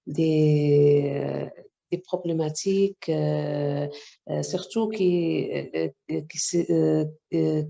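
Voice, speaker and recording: Halting woman, gravelly dark voice. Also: low voice, dull audio. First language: Arabic. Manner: halting, many hesitations. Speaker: journalist, section editor. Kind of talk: webinar discussion. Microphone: video call.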